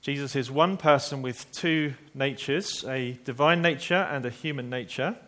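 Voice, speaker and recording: A man preaching a sermon, telling a story earnestly and slowing down quietly for emphasis. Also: mid-range voice.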